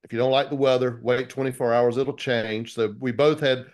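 Male co-host, mid-pitched, relaxed and chatty. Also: moderate pace. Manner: easygoing, friendly questions. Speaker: podcast co-host. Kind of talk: casual interview chat.

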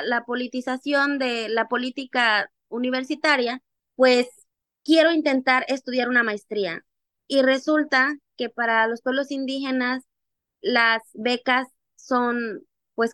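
A young adult woman from Mexico's Costa Chica, with a Costa Chica accent, telling her own story in an interview in an animated way. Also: sits high, no room sound. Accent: Costa Chica Mexican Spanish. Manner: animated, acts out remembered dialogue. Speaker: interview guest, activist. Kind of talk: personal storytelling in conversation.

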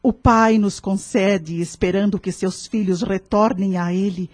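An actress playing a wise, serene woman who gives kindly spiritual counsel in a radio drama, speaking in a measured, earnest, preachy way. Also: medium pitch, fairly even intonation, darkish tone, no room sound, lightly acted. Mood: devout, serene.

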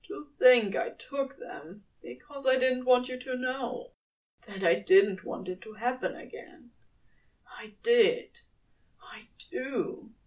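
A woman's voice: fairly high pitch, takes it slowly, a little mellow, distant mic. A woman voicing a weary, yearning male demon lover in an audio story, speaking in earnest murmurs. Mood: pleading. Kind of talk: dramatic audio fiction reading.

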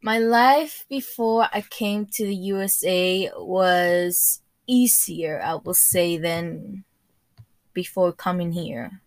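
Slow, careful teenage girl. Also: fairly low pitch, no room sound. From Spain, raised in Ecuador. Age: teens. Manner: slow, careful, searching for English words. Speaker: interviewee. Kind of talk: school podcast interview.

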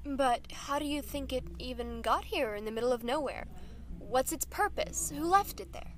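A woman's voice: sits high, moderate pace, close to an everyday voice. A woman playing a composed news anchor with a polite, professional broadcast delivery. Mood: curious. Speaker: broadcast reporter.

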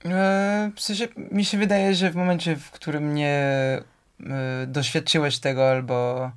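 A man's voice: a little high, fairly even intonation, unhurried, clean tone. A young man talking as a guest in a casual interview, hesitant and often searching for words.

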